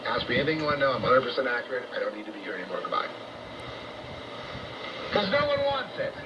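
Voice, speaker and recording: A man's voice: medium pitch, huge pitch swings, recorded from a distance. Noisy muffled shortwave radio, rambling American man. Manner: halting and repetitive, grandiose, coarse-spoken. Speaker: radio talk host and monologuist. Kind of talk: rambling off-the-cuff radio monologue.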